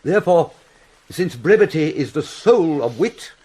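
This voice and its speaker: An actor playing a pompous, long-winded, fussy older royal counsellor in a classical Shakespearean drama. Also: male voice, on the high side, lively intonation, measured pace, warm tone, breathy edge. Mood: pompous, deliberate. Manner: pompous, self-satisfied, wordy.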